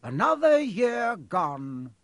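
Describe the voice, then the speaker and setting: A man voicing a genial, whimsical old headmaster in a storybook, speaking in a slow, stately, oratorical way. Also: sits high, big pitch swings, a bit bright, no room sound, voice pushed up, full character voice. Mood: cheerful, ceremonious.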